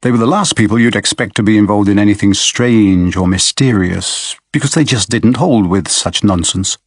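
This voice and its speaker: Man as a husky, wry storybook narrator. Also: on the low side, normal speed, dry recording, clean studio sound. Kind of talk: scripted audiobook narration.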